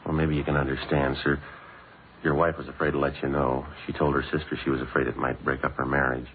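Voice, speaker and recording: Terse police detective: very low American voice, rapid clipped delivery, businesslike and polite, vintage radio crime drama. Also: male voice, phone-quality sound. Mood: gently matter-of-fact. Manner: clipped, matter-of-fact, deadpan. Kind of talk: 1950s radio drama acting, understated. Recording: old band-limited radio broadcast, hissy.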